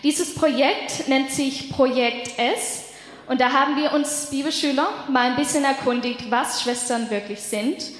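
Young woman at bible school, high voice, bit hesitant, big echoey hall. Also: unhurried. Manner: hesitant, self-correcting. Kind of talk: introduction and event announcement.

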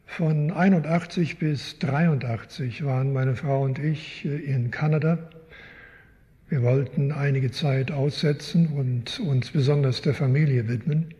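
Older man, from Canada, guest teacher, thoughtful, unhurried, reverberant church hall. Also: medium pitch, steady tone, mellow. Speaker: guest speaker, Bible teacher. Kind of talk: live interview in a church.

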